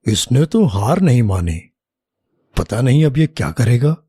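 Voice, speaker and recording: A man playing a king in an animated children's story, speaking in a commanding, deliberate way as he tests his minister. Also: medium pitch, slightly rough, no room sound, studio quality, full character voice. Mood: scheming, musing. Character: male king, approving yet slyly testing his minister.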